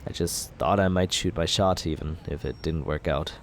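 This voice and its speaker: A man in an ASMR roleplay as a villain, talking smoothly and persuasively in a teasing, flirtatious way. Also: a bass voice, steady tone, moderate pace, a little hiss or hum.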